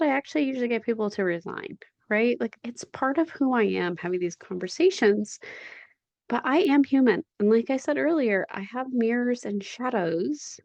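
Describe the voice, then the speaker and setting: A woman's voice: mid-range voice, gravelly, darkish tone, highs cut off. A woman in her forties hosting her own podcast, talking from home in a candid, reflective, conversational way. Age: 40s. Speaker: podcast host. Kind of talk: solo podcast monologue.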